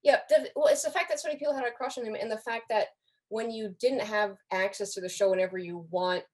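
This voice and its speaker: A young adult woman speaking as a podcast guest in a lively casual discussion, with a wry, emphatic way of talking. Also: on the high side, quick pace. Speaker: podcast guest, fan community member.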